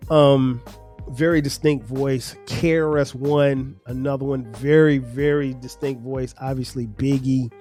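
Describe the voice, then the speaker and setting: A man's voice: medium pitch, fairly even intonation, measured pace, mellow, faint music behind. A middle-aged man from South Carolina with a South Carolina accent and a slightly nasal voice, hosting a podcast in a loose, rambling monologue full of fillers and restarts. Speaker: podcast host. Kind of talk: casual solo podcast monologue.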